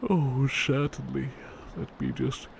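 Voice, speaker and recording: Casting: male actor as tender bedtime butler for ASMR roleplay; slow, hushed, drowsy delivery, gravelly low edge. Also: flat delivery, slight background noise. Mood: sleepy, caring. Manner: hushed, sleepy, halting, often slipping into whispers.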